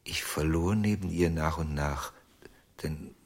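A tormented, jealous ventriloquist in a radio crime play. His voice is very low and husky, and he confesses slowly and haltingly, often sinking toward a whisper. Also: exaggerated voice. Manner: halting, haunted, breaking into near-whispers. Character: anguished, jealous male ventriloquist confessing to lost love. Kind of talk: German radio crime drama acting.

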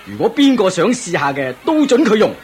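A man's voice: sits high, sing-song, fairly quick. An actor playing a proud, jovial king in a radio drama, exclaiming and chuckling expansively over music. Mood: regal, pleased. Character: proud, jovial king, excited by his prince's feats. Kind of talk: Cantonese radio drama acting. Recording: music under the voice.

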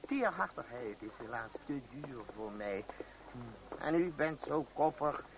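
A man's voice: medium pitch, animated, unhurried. An actor playing a wily, middle-aged boss of a theatre troupe in an old radio play, pompous and unctuous, hemming as he schemes. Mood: cajoling. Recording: dull, noisy old radio recording.

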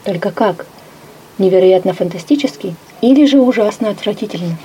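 Female storyteller, first-person narration, mellow voice, expressive delivery, light background hiss. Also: mid-range voice, a little fast, poor audio. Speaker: audiobook narrator.

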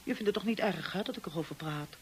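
Husky, outspoken sister-in-law. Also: female voice, on the low side, fast talker. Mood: confiding. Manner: candid, direct, probing. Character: blunt, outspoken upper-class sister-in-law, brisk and frank. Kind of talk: radio drama acting.

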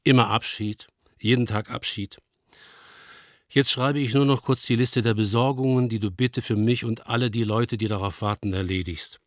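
An actor plays a worried, affectionate older man in a radio play. He reads his letter in a mid-pitched voice, measured and tender with a melancholy tone. The recording is close and dry. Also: fairly even intonation, dry recording, close to an everyday voice. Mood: sad, resigned, then tender, practical.

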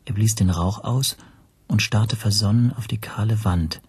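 A reflective storyteller, narrates a radio drama in measured, observant literary prose. His voice is on the low side and extremely husky, with a sober delivery. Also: a little mellow, no echo. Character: reflective first-person narrator recalling his interrogation.

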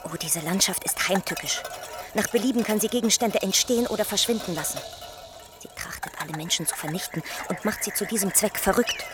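An actress playing a young signalman's daughter in a radio play, calmly and deadpan telling of eerie things. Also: mid-range voice, brisk, lightly acted. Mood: deadpan, foreboding. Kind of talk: German radio play dialogue.

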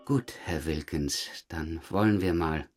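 Deep, measured male police inspector. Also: music in the background. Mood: formal, procedural. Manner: polite, measured, procedural. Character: calm, courteous male city police chief inspector. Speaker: interrogating police detective. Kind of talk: naturalistic crime radio drama dialogue.

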